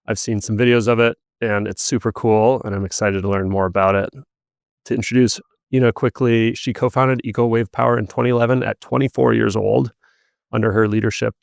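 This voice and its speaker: A man hosting a podcast, reading an intro and asking interview questions in an even delivery. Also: fairly low pitch, creaky, no echo, studio quality. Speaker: podcast host.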